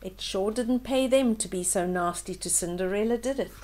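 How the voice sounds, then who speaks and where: An older woman reading a fairytale aloud to children in an expressive way, with simple explaining asides to young listeners. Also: medium pitch, normal speed, slight background noise. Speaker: children's storyteller, host.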